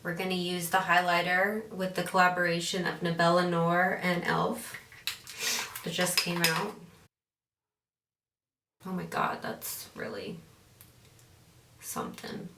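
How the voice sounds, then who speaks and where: A woman's voice: fairly low pitch, flat delivery, slow, long pauses, noisy background. A woman chatting casually while doing her makeup, often losing her train of thought and circling back. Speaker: beauty vlogger. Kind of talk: casual makeup tutorial chat. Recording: roomy echo, mic at a distance.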